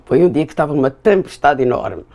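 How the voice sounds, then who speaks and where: Older woman, mellow voice. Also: low-pitched, lively intonation, quick pace, vocal fry. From São Miguel, Azores. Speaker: interview guest. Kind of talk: casual conversation.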